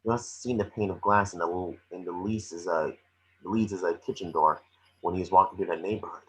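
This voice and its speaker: Man acting deep, husky FBI chief Jack Crawford. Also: fairly even intonation, fairly quick. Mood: troubled, probing. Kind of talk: table-read acting. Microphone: video call. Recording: dull lo-fi video call.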